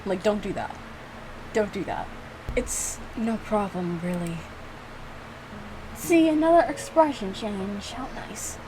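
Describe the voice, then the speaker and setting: Female creator for casual reaction video: medium pitch, animated and informal delivery with playful exasperation, noticeable noise behind the voice. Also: slow pace, pauses often. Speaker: video creator reacting to own visual novel.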